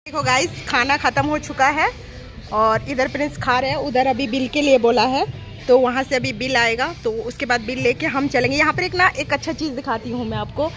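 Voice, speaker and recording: A woman's voice: high-pitched, fairly quick, fairly bright tone, faint music behind. A middle-aged woman vlogging casually outdoors at night on a phone held at arm's length, chatting directly to viewers. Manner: chatty, talks straight to viewers. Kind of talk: casual travel vlog chat. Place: outdoor cafe and street at night. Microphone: phone camera held at arm's length.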